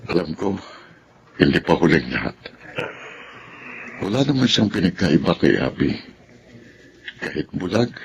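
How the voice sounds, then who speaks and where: A weary, devoted Filipino father in a radio drama. His voice is very low and gravelly, and he speaks in an earnest, reflective way over faint noise. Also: exaggerated voice. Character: weary, devoted father who keeps hoping for his children.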